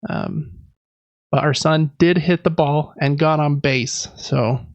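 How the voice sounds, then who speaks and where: A man's voice: a little high, steady tone, slow pace, dry recording, lo-fi sound. A man in his thirties hosting a casual podcast, rambling along in a chatty, self-deprecating way. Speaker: podcast host. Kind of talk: casual chat about games and life.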